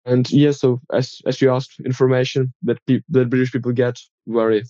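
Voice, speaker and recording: A young man from Ukraine with a Ukrainian accent, answering interview questions in careful, slightly halting English. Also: mid-range voice, flat delivery, warm tone, dry recording, dull audio.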